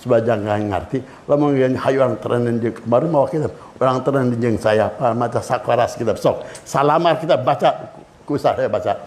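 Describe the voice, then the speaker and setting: An older man with a Sundanese accent giving a religious lecture to students, lively and switching between languages as he acts out anecdotes. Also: mid-range voice, moderate pace. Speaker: Islamic cleric.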